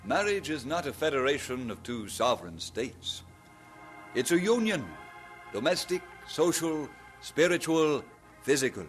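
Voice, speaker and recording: An actor with a Scottish accent playing an earnest young preacher in a 1940s radio drama. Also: male voice, on the high side, sing-song, measured pace. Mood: preaching. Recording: vintage radio recording with music.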